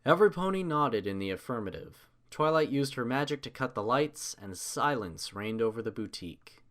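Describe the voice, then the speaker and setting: Lively male narrator, very varied pitch. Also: moderate pace. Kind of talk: animated fan fiction story narration.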